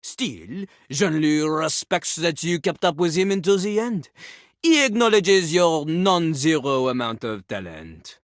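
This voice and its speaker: An actor playing a boastful French talking toilet in a video game, a pompous, theatrical rapper with a French accent. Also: male voice, a little high, expressive, unhurried, no echo, clean studio sound. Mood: haughty. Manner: pompous, theatrical, refers to himself in third person. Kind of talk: video game character acting.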